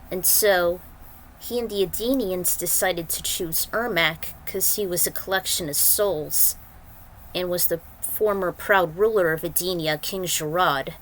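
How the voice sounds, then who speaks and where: A woman's voice: lowish voice, a bit bright, poor audio. A woman hosting a story reading, giving an informal, conversational recap and introduction. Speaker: host reading own fan fiction aloud.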